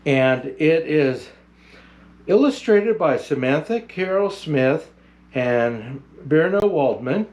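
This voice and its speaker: Kindly old Santa storyteller, played by a man: unhurried, grandfatherly, very animated pitch, children's picture book read-aloud. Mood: jolly, welcoming.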